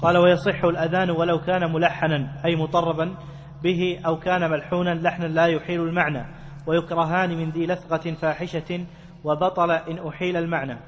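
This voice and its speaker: Echoing, even-toned man reading aloud. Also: a little high, quick pace, highs cut off. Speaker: student reading the text aloud. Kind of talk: formal read-aloud of a classical text. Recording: long hall reverb, background noise.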